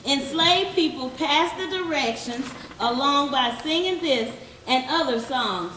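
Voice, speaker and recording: Lady narrator reading history aloud, slow n careful, high voice, far from mic, roomy, bit of noise. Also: animated. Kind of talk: read-aloud educational narration. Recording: distant, echoey, noisy room.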